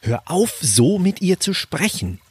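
A man's voice: high voice, sing-song, a little slow, darkish tone, slightly rough, no room sound, exaggerated voice. An actor playing a defiant male sous-chef in an audio drama, with blunt, terse delivery. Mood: defiant anger. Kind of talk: German audio drama acting.

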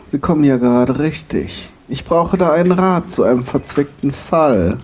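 Overbearing male boss played by a man, brisk and interrupting, fairly high voice, faint ambience. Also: lively intonation, darkish tone, a little hiss or hum, natural voice. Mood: brisk, insistent. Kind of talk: audio drama acting.

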